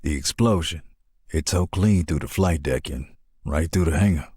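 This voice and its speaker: Low, husky, blunt navy buddy, a man acting. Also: moderate pace, a little mellow, dry recording, studio quality. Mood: grave. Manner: blunt, folksy phrasing. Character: blunt, loyal, folksy male navy pilot comrade. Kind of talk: dramatic animatic film dialogue.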